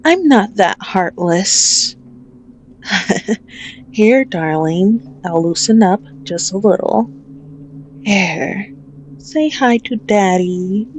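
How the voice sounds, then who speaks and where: A woman's voice: mid-range voice, animated, extremely slow, long pauses, a little mellow, slight background noise, poor audio. A woman playing a possessive, manipulative female mafia boss in an ASMR audio roleplay, menacing and taunting with a mock-tender manner. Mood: mocking, contemptuous.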